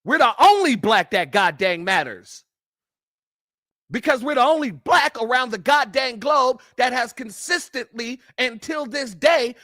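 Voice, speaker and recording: Fiery high-voiced man preaching. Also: pushed and bright, dry recording, studio quality. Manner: fiery, emphatic, profane, repetitive. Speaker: livestream host. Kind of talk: preaching-style livestream commentary.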